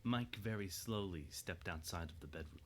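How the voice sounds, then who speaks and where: A man narrating a scripted dramatic story in a measured, suspenseful way. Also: sits low, fairly bright tone, slight background noise.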